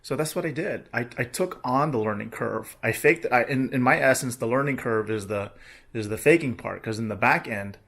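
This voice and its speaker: A middle-aged man with a hoarse, sore voice, rambling casually in a video diary about his work. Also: mid-range voice, fairly quick, a bit bright. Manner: rambling, many restarts and fillers. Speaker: medical coding vlogger.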